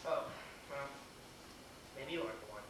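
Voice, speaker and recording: A bright-voiced, flustered young man acted by a woman in a stage comedy, heard far off in a very echoey hall. He speaks formally and haltingly, growing exasperated and indignant. There is faint noise on the recording. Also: a bass voice. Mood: hesitant, awkward. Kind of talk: amateur one-act comedy stage acting. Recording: distant, echoey, noisy stage video.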